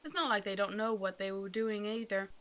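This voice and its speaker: A woman's voice: mid-range voice, a little fast, fairly bright tone, close to an everyday voice. A woman voicing a hungry half-snake naga in an ASMR roleplay, teasing and condescending, with mock-sweet menace. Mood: smug, condescending. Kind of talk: ASMR roleplay audio drama, addressing the listener.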